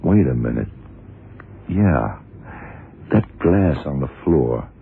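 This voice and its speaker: An actor playing a blunt, dry police detective in a 1970s radio crime drama, questioning in a clipped, matter-of-fact way. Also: male voice, extremely low pitch, big pitch swings, slow pace, creaky, slight background noise, exaggerated voice. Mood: puzzled, methodical. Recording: tinny, band-limited old radio recording.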